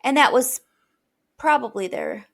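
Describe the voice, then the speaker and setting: A woman co-hosting a casual podcast, talkative and quick to laugh. Her voice sits somewhat high. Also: fairly bright tone. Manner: chatty, laughs easily. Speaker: podcast co-host. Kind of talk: casual chat.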